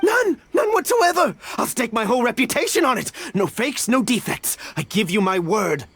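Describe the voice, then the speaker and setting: A man's voice: very high voice, big pitch swings, normal speed, a bit bright, husky, heavily acted. An actor playing a panicked, groveling merchant man in a video game, pleading frantically to save his business. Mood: frantic.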